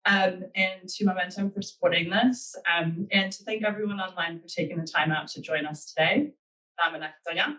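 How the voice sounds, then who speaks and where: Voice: mid-range voice, fairly even intonation, brisk, sharp tone. Earnest American speaker, distant mic. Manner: earnest, thinking aloud with frequent ums. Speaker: guest speaker. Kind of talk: webinar talk.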